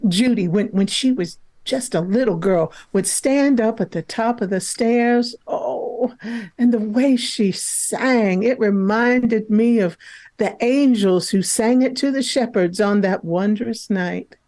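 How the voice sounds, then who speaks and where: A woman's voice: fairly high pitch, slow, darkish tone. A woman playing a sentimental, kindly old country mother in a radio drama, with a wistful, exclaiming delivery. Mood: wistful, nostalgic. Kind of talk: vintage radio drama acting.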